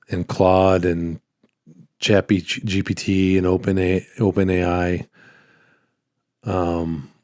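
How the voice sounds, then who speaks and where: A man hosting a casual solo podcast, rambling and halting, with a slightly hoarse voice that cuts in and out as he recovers from being sick. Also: low voice, a little mellow, no room sound, clean studio sound. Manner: rambling, halting, repeats phrases. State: hoarse, voice cutting in and out after illness. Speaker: podcast host, small business owner.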